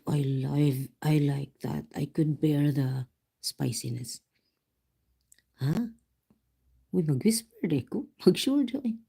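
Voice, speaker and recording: A host from the Philippines with a Filipino accent, chatting casually and quietly on a livestream from home. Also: medium pitch, creaky. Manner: speaking softly and quietly to avoid disturbing neighbors. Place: home, indoors.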